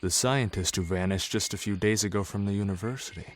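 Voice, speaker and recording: A man's voice: lowish voice, fast talker, a little hiss or hum, close to an everyday voice. A man voicing a grim masked vigilante who speaks in short, cold, commanding lines. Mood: terse.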